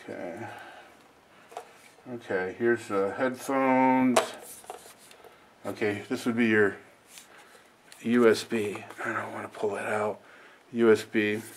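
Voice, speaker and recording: A man's voice: fairly low pitch, steady tone, slow pace, pauses often, noisy background. A man giving a casual, rambling, self-deprecating unboxing and review as the host of a video.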